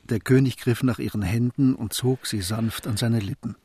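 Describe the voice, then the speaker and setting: A man's voice: medium pitch, fairly even intonation, normal speed, warm tone, husky, dry recording. A man giving composed, literary storytelling narration in a radio drama.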